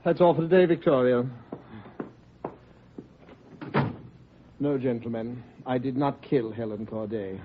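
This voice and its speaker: An actor playing an anxious, defensive voice coach with a precise, formal manner in a 1950s radio drama. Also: male voice, mid-range voice, brisk, background noise, natural voice. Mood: composed. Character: defensive, anxious male voice coach guarding his reputation. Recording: dull old radio recording.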